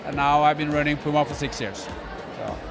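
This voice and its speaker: A man speaking as a business executive on a red carpet, measured and modest, with frequent hesitations. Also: a little high, gravelly, a crowd in the background. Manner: measured, modest, with many uh's. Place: indoor event red carpet with music. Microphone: handheld mics held by interviewers. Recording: noisy event with loud music.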